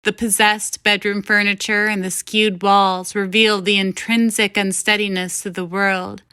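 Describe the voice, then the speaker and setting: A woman's voice: medium pitch, level tone, normal speed, sharp tone, smooth, no echo, studio quality. A woman reading a first-person short story as scripted narration. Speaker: story reader.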